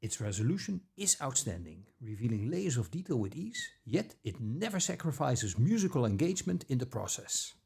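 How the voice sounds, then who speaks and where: Guy doing a scripted review voiceover, measured delivery, bit of gravel and fry, lively intonation. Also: mid-range voice. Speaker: audio gear reviewer.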